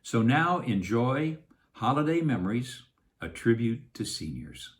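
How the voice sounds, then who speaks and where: A man's voice: medium pitch, sing-song, a little slow. A man, an actor giving a scripted introduction to a program.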